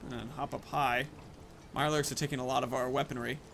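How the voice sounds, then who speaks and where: A man's voice: medium pitch, little pitch movement, fast, gravelly, a bit bright, slight background noise. A man hosting a gaming video, giving casual commentary and thinking aloud about his moves and choices. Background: video game music.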